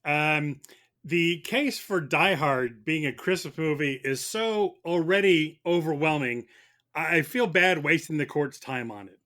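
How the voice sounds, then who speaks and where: A man's voice: fairly high pitch, unhurried, bright, forceful tone. A man playing a prosecutor in a comic mock trial, making his case with wry, mock-serious lawyerly rhetoric. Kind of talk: semi-scripted comic courtroom argument.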